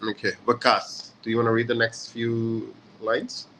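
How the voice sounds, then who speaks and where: Chatty man with a bright voice. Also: mid-range voice, moderate pace, a little hiss or hum, poor audio. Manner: relaxed, chatty, many fillers. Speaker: session host. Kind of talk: casual online lesson. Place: hotel in a city abroad.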